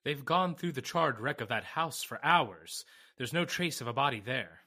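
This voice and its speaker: A man playing a shaken, earnest witness in old-time radio drama, speaking in a halting, dramatic way with stammered repetitions. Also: medium pitch, lively intonation, sharp tone. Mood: uneasy, awed. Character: shaken, earnest man recounting an uncanny fire, Mister Lamont.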